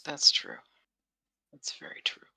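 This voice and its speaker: A woman's voice: a little slow, whispered, rough recording. A woman playing a tabletop game, chatting in character with a dry, laughing manner. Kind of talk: in-character roleplay chat.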